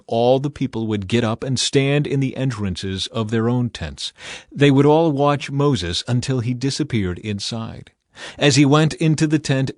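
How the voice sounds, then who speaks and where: A man's voice: medium pitch, darkish tone, dry recording. Solemn male scripture narrator, dry sound. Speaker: audiobook narrator.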